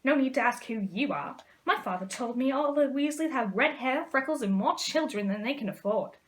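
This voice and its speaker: A woman puts on a haughty young boy for a storybook, high in pitch. He sneers and talks down to everyone with a careless drawl. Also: expressive, normal speed. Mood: sneering. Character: sneering, haughty pale schoolboy bully.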